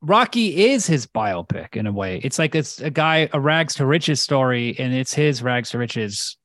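Casual male podcaster, mid pitch, dry close sound, playful riffing. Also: sing-song, normal speed, a bit bright, dry recording. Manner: joking, acts out quotes. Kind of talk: casual comedic chat.

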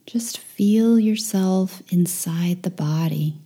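Soft breathy lady doing guided meditation, lowish pitch, really slow and gentle, almost whispering. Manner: gentle, soothing, unhurried with long pauses.

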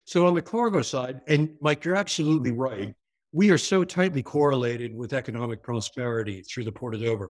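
A man's voice: mid-range voice, brisk. A man with a New York area American accent, answering interview questions in a fluent, explanatory, businesslike way. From New York area, USA. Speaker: port executive.

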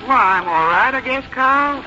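A woman's voice: high-pitched, expressive, unhurried, music in the background. An actress playing a stubborn, plain-spoken elderly American mother with a blunt, folksy, complaining manner in a 1950s radio drama. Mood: guarded, blunt. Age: older. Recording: noisy, muffled 1951 radio recording.

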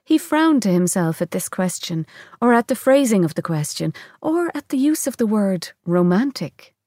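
A woman's voice: mid-range voice, big pitch swings, normal speed, dark tone, dry recording. Woman narrating a novel, dry close sound. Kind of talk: scripted literary narration, novel reading.

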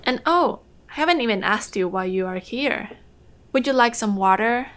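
A woman playing a warm, chatty older housewife in a naturalistic audio drama. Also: on the high side, animated, moderate pace, a little hiss or hum. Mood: hospitable.

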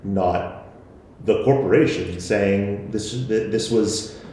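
A man's voice: fairly low pitch, takes it slowly, a little mellow, a little hiss or hum. A man being interviewed about his podcast, talking hesitantly with many fillers and restarts. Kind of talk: casual interview answers. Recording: very echoey room.

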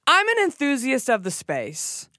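A woman's voice: high voice, wildly swooping pitch, measured pace, pushed and bright, no room sound. A woman with a Texan accent, a guest on a studio podcast, chatting in a brash, breezy, playful way. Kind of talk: casual chat.

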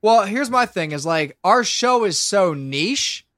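A man's voice: high-pitched, lively intonation, takes it slowly, fairly bright tone, dry recording. Rambling young man on a dry mic. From Pennsylvania. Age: 20s. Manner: rambling, swears, restarts a lot. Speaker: podcast host. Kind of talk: casual podcast chat.

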